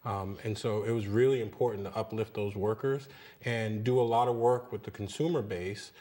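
A man's voice: fairly low pitch, fairly even intonation, normal speed, dark tone. A man in his thirties, a union leader speaking earnestly as a guest in a TV studio interview. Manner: earnest, repeats words when emphasizing. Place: small TV studio.

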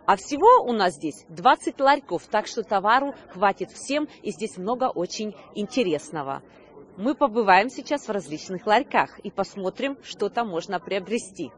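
A woman's voice: medium pitch, very animated, normal speed. A woman in her thirties presenting a news report on location outdoors into a handheld microphone, with slightly hesitant delivery. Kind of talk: on-location reporting, semi-scripted presenting to camera. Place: outdoor Christmas market.

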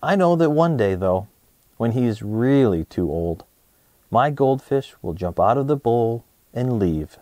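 A man in his thirties presenting and reading a children's storybook aloud in an expressive way, speaking to young listeners. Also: medium pitch, unhurried, no room sound. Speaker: host of a children's read-aloud show.

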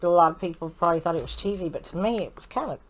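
A middle-aged American woman chatting casually as co-host of a reaction show, expressive and folksy, with blunt exclamations. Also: on the low side, rapid-fire. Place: living room, on a couch. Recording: noticeable background noise and clicks.

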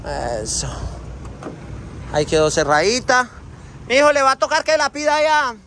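A man's voice: very high-pitched, big pitch swings, moderate pace, bright, forceful tone, slight background noise. A man in his thirties with a Colombian accent, chatting affectionately while filming his trucks with a phone at arm's length. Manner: chatty and affectionate, full of diminutives. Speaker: trucking company owner and vlogger. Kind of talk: casual vlog walkaround. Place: outdoor truck yard and truck cab. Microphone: phone camera held at arm's length.

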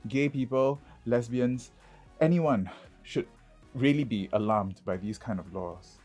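Husky man vlogging over music. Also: medium pitch, measured pace, a little mellow. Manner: chatty, punctuates points with "okay". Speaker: drag queen vlogger. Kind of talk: casual vlog commentary.

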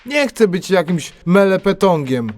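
A man's voice: high-pitched, sing-song, measured pace. A man playing a meek, downtrodden young Cinderella lad who talks in street slang in a comic audio drama. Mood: wistful.

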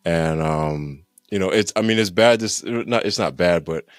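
A man's voice: sits low, moderate pace, no room sound. A man in his thirties, a podcast guest talking casually about growing up, rambling and laughing often, on a studio mic at home over a video call. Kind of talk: casual interview chat. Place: home room, indoors. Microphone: studio mic with headphones, video call.

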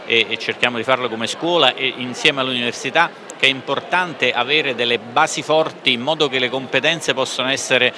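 A man's voice: medium pitch, brisk, few pauses. A man in his sixties, a school official, giving a careful, hesitant press interview. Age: 60s. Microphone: handheld microphone. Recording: noisy crowded auditorium.

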